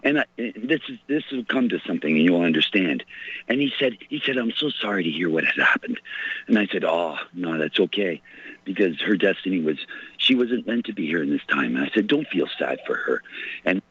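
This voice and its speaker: A man calling in to a radio show with a hoarse voice, rambling and distracted as he talks. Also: low voice, expressive, brisk, fairly bright tone. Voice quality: hoarse, husky. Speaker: caller. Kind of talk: phone call to radio show. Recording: muffled lo-fi phone line.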